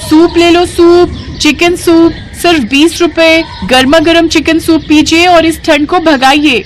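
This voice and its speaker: A woman playing a kind, hard-working village mother who sells soup, gentle and sincere, in an audio story. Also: very high-pitched, fairly even intonation, unhurried, a little hiss or hum. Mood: calling out.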